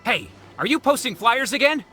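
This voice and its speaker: A man's voice: very high voice, sing-song, normal speed, sharp tone, faint music behind, full character voice. A man voicing a zealous, suspicious police officer in a game, accusing and insistent. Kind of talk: anime-style game dialogue.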